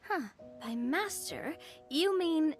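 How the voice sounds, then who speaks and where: A playful, well-mannered young lady in a video game. Her voice is high and very animated, conversational in delivery, with music clearly behind it. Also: slow pace. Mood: curious, friendly. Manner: expressive, conversational.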